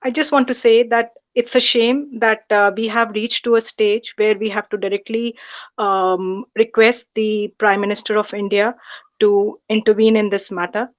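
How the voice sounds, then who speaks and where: A woman giving a measured, earnest statement at an online protest over a video call. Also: mid-range voice, fairly even intonation, highs cut off. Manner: earnest, measured, some ums.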